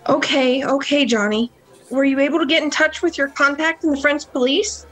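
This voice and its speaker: A woman's voice: sits high, fairly even intonation, normal speed, fairly bright tone, poor audio. A woman playing an anxious, scheming young nightclub worker in an amateur radio play, hesitant and melodramatic. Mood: coaxing, furtive.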